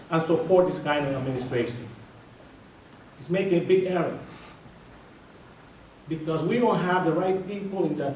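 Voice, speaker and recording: A man's voice: a little high, a little mellow, a little hiss or hum. A man from the Dominican Republic with a Dominican Spanish accent, a native Spanish speaker giving an emphatic campaign speech at a candidates forum, repeating his phrases and building to firm declarations. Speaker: mayoral candidate. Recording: distant, echoey, dull radio recording.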